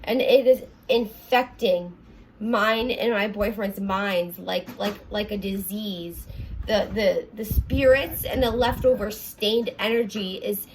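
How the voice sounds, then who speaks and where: A young woman with a raspy voice, talking earnestly in a rambling confessional vlog from her apartment, full of fillers and restarts. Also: mid-range voice, steady tone, takes it slowly, a little hiss or hum, low-quality recording. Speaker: vlogger.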